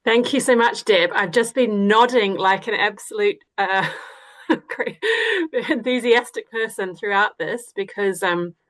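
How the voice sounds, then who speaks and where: A woman's voice: mid-range voice, a little slow. A woman with a New Zealand accent, an academic researcher, presenting in a chatty, enthusiastic way with frequent fillers. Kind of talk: webinar presentation.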